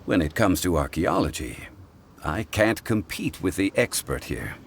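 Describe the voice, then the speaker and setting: An actor playing a calm, learned, dignified man who speaks in a measured, formal way. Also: deep voice, a little hiss or hum, heavily acted. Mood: composed. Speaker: game character. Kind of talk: video game cutscene acting. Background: game soundtrack music.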